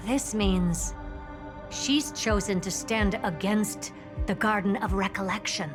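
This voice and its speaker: An actress voicing a composed woman who reports in a brisk, matter-of-fact way. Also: mid-range voice, fairly even intonation, music in the background, lightly acted.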